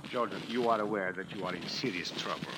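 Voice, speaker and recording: An actor playing a measured police captain in a 1940s radio drama, speaking formally and deliberately with courteous authority. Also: male voice, low-pitched, natural voice. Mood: official, stern. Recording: noisy old radio drama broadcast.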